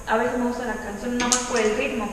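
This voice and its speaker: A young Mexican woman with a light Yucatecan lilt, chatting on a podcast and slipping into the voices of her friends. Her voice sits a little high. She is a bit far from the mic in a room with a long, echoing reverb and faint noise. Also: flat delivery, fast. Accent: Mexican Spanish with a light Yucatecan lilt. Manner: chatty, laughs often, acts out quoted friends. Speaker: podcast guest. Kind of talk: casual chat.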